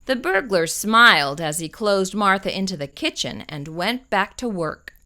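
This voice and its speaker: A woman reading a children's picture book aloud, slow and expressive. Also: medium pitch. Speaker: children's storyteller.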